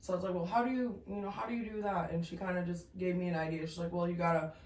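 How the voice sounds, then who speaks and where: A woman in her thirties, born in Sudan and raised in the Twin Cities, telling her story as a guest in a casual interview, reflective and halting. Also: lowish voice, fairly even intonation, a little mellow, far from the mic. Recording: echoey room, off-mic, faint noise.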